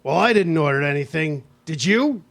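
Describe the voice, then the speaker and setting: Man acting an exasperated, high-pitched scolding husband. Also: animated, moderate pace, creaky, fairly bright tone, heavily acted. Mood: weary, curious. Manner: nagging, emphatic, questioning. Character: exasperated, scolding mid-century husband back from the office. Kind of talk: live radio play acting.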